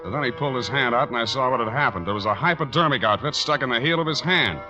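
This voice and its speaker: An actor playing a wry, hard-boiled private detective in a 1940s radio drama, with clipped deadpan delivery. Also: male voice, mid-range voice, fast talker, lightly acted. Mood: wry narrating. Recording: muffled 1940s radio recording, music behind.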